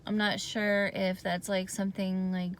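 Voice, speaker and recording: A woman giving a casual video diary update in a hoarse, raspy voice, hesitant and rambling with lots of fillers. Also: mid-range voice, level tone, a little slow, a little hiss or hum. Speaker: vlogger giving a health update.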